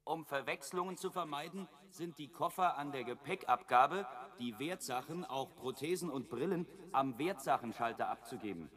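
An actor playing a polite, coldly bureaucratic camp announcer, delivering precise official instructions in a radio drama. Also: male voice, fairly high pitch, lightly acted.

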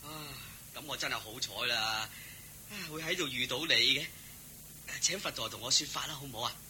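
An actor playing an eager, humble, good-hearted cowherd servant in a Hong Kong Cantonese radio drama, deferential and full of exclamations. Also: male voice, medium pitch, fairly quick, bright, forceful tone, slight background noise. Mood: curious, eager.

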